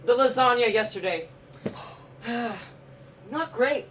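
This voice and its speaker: A woman's voice: a little high, slow pace. A young woman, a student stage actor, playing a domineering, scornful spouse who complains and condescends in a live one-act play. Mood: dismissive, sneering. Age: teenager or young adult student. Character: domineering, fault-finding spouse named Sam, married two years. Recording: distant noisy stage recording.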